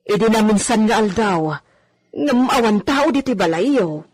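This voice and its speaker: Lady doing a shy deferential woman in a radio play, kinda husky. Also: mid-range voice, lively intonation, measured pace, darkish tone. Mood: casual, chatty. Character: humble, deferential, hesitant woman visitor. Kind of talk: radio drama acting in dialogue.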